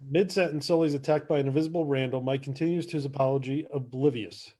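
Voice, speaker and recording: A man's voice: medium pitch, fairly even intonation, fast, dark tone. A man narrating stage directions in a casual scripted read-through.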